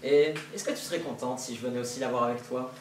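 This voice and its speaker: Sly hungry wolf played by a teen, soft coaxing talk, far away in a boomy school hall. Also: steady tone, fast talker, slight background noise, natural voice. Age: teenager. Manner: gentle coaxing, feigned sweetness. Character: smooth-talking male wolf, sly and hungry. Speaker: student actor. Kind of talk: school stage play. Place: school stage.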